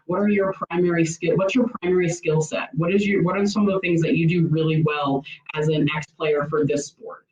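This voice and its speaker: A woman's voice: low-pitched, darkish tone. A woman giving explanatory interview answers as a former college coach, earnest and wordy, often circling back to rephrase. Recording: roomy, dull lo-fi audio.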